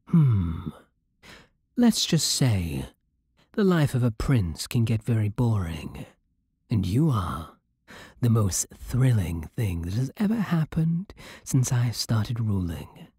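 A man acts out a seductive British vampire king in an ASMR roleplay. He speaks slowly and imperiously in a very breathy, husky voice with a lively, swooping melody. The delivery is formal, possessive and teasing, close to the microphone. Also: lowish voice, dry recording, heavily acted. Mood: smug, low. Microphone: close, dry microphone.

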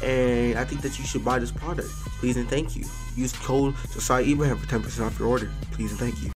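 A man's voice: medium pitch, steady tone, brisk, over music. An American man chatting casually in a slangy, informal way as he hosts a prank video. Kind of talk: casual vlog chat.

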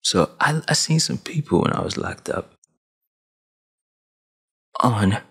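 A young man in his twenties, from Sudan and raised in Saudi Arabia, lectures like an older brother. His voice is low, gravelly and husky, and it swings up and down a lot as he talks with his hands. He speaks into a headset mic in a small dim room. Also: expressive, takes it slowly, a bit bright. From Sudan, grew up in Saudi Arabia. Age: 20s. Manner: preachy big-brother tone, emphatic, with hand gestures. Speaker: vlogger. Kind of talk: motivational rant to camera. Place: small dim room at home, gaming chair behind. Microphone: headset with boom mic.

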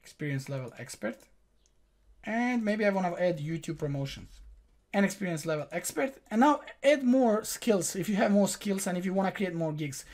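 A man's voice: sits high, animated, moderate pace, a little mellow. A young man whose first language is Croatian hosts a screen-recorded tutorial. He talks viewers through every click in a direct, instructive way, recorded at a desk in a small room. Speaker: online tutorial host.

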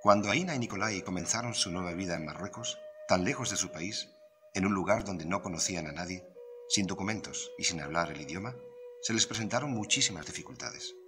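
A man with a low-pitched voice narrates a serialized mystery story in a scripted, storytelling style. Music plays clearly behind his voice. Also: fairly quick. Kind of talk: scripted narration of an audio drama novel.

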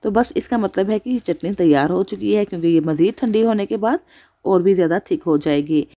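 A woman's voice: mid-range voice, fairly quick, dark tone, muffled recording. A woman hosting a cooking channel, narrating a recipe step by step as a voice-over.

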